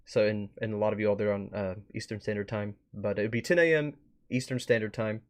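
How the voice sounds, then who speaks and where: A man hosting a livestream in a casual chatty style, rambling a bit as he thinks out loud. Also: lowish voice, fairly even intonation, quick pace. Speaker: streamer and host. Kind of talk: casual livestream wrap-up chat.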